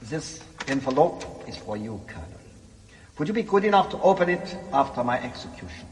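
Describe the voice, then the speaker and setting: An actor in a radio drama playing an arrogant, urbane condemned German military leader with a suave, formal, condescending manner. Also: male voice, medium pitch, very animated, brisk, lightly acted. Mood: smug, commanding. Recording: long reverb of a stone cell.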